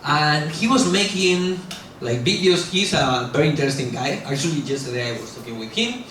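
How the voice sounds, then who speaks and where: A man's voice: on the high side, animated, brisk, fairly bright tone. A middle-aged man, not a native English speaker, giving a loose, rambling university talk full of fillers and self-corrections, blunt and self-mocking. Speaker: guest speaker at a university lecture. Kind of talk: casual lecture talk with Q&A. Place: large echoey lecture hall. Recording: very echoey hall, distant mic, faint hiss.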